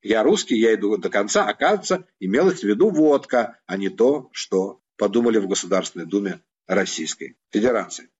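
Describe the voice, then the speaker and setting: A man's voice: lowish voice, animated, brisk, darkish tone, breathy edge, lo-fi sound. A man from Ukraine, a political analyst, giving mocking, sarcastic commentary.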